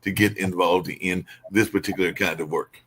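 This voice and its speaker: A man's voice: deep voice, fairly even intonation, normal speed, a bit bright, husky. A man hosting a radio interview, asking rambling, chatty questions. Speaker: radio host.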